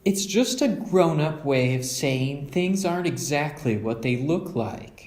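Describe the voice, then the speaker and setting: A man voicing a calm, reassuring mother bear in a storybook, gently firm as she explains things. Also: on the high side, measured pace, echoey room, a little hiss or hum, voice pushed up. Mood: gently explaining.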